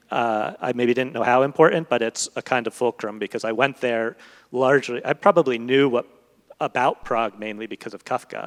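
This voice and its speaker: Halting man in a reverberant hall. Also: mid-range voice. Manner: halting, digressive, searching for words. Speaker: literary translator and panel guest. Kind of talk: thoughtful on-stage literary conversation. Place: large hall, public event.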